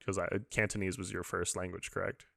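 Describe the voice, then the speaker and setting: Male podcast host, low with heavy vocal fry, dry close sound, loose halting conversational delivery. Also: flat delivery, bright, forceful tone, dry recording. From born in England, moved to the US as a child. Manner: informal, rambling, halting, says "dude" and "like" a lot. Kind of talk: casual podcast interview chat.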